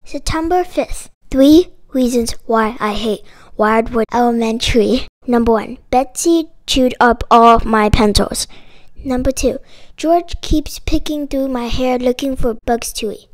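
A woman reading a children's picture book aloud in an expressive, emphatic storytelling manner. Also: on the high side, unhurried. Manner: expressive, emphatic delivery with dramatic exclamations. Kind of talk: animated children's read-aloud.

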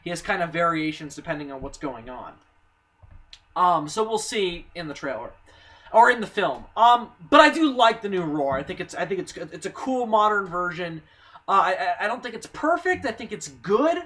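Hoarse young man rambling excitedly. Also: sits high, moderate pace. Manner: excitable, rambling, swearing, many ums and restarts. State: hoarse, a little sick. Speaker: movie news vlogger. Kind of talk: casual spoken movie commentary.

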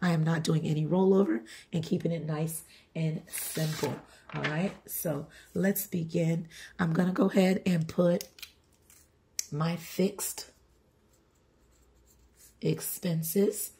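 Woman, hoarse and groggy, husky, lowish voice, chatty budgeting vlog at home. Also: steady tone, slow, pauses often, dark tone. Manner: chatty, repeats "all right" and "okay". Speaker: budgeting channel host. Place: home, table-top filming.

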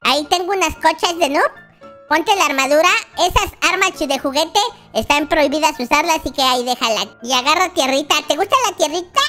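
A performer voicing an excitable, boastful baby boy in a pitched-up voice for a playful children's gaming roleplay. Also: fairly quick. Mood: busy, cheerful. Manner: exclaiming, repetitive, childlike. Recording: music behind the voice.

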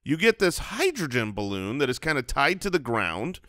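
A man's voice: mid-range voice, very animated, moderate pace, sharp tone, dry recording. An American man co-hosting a comedy podcast, telling stories casually into a close studio microphone. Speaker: podcast co-host. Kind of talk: casual comedic storytelling and banter. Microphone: close studio microphone on boom arm.

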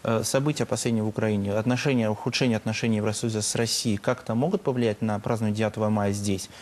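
A man's voice: fairly low pitch, fairly even intonation, fast talker, a little mellow. A young man putting questions as host of a political interview programme in a TV studio. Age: young adult.

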